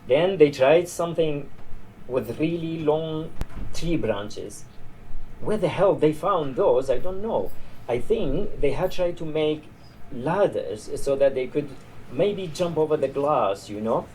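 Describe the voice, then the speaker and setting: A man's voice: fairly high pitch, animated, slow pace, dark tone, pitched above the performer's own voice. A man reading the part of a tired old border guard in a story, chatty, dismissive and grimly amused. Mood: mocking, emphatic. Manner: weary, chatty, discouraging. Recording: noisy live reading in a bar.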